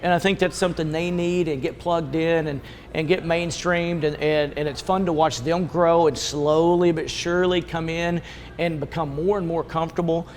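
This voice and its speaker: A man's voice: a little high, fairly even intonation, normal speed, a little hiss or hum. A man who coaches children, talking in plain, conversational coaching language. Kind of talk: coaching and interview talk.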